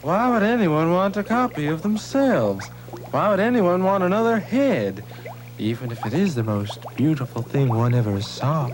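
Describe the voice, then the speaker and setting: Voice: mid-range voice, big pitch swings, normal speed, close to an everyday voice. A performer voicing a timid, wisecracking bird henchman on a children's television show, grumbling and pleading. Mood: wry. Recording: music under 1970s television soundtrack.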